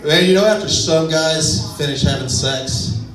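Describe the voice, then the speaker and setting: A man's voice: fairly high pitch, measured pace, a bit bright. A man from Texas with a West Texas accent, doing a self-deprecating, laid-back stand-up comedy set on a club stage. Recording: echoey club sound from far off with a crowd.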